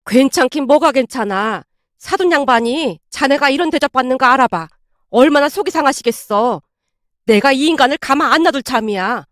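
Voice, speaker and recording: A woman's voice: high voice, lively intonation, moderate pace, no room sound, clean studio sound. A woman voicing an older mother-in-law in a narrated family story, blunt, indignant and fiercely protective. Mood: indignant, fussing.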